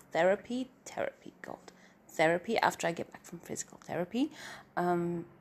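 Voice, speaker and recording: A middle-aged woman with a nasal, stuffy-nosed voice gives a rambling, self-deprecating personal update as a writer and story narrator. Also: lowish voice. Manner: meandering and self-deprecating, trailing off into filler.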